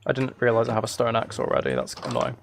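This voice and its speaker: A man's voice: medium pitch, sing-song, fast talker, creaky, a little hiss or hum. A man chatting casually on a live gaming stream, thinking aloud in a hesitant, rambling way.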